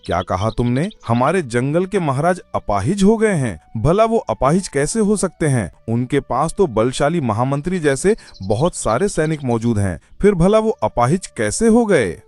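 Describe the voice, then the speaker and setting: A man voicing a wise old male bear elder in a Hindi cartoon, calm and fatherly. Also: mid-range voice, normal speed, hardly any pauses, no room sound, close to an everyday voice. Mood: concerned. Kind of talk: cartoon voice acting.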